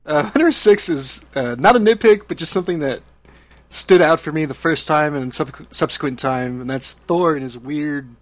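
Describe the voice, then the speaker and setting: A man's voice: fairly high pitch, moderate pace, fairly bright tone, no echo. A man co-hosting a casual movie review podcast, groping for words with many restarts. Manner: hesitant, restarts, gropes for words. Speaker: podcast co-host.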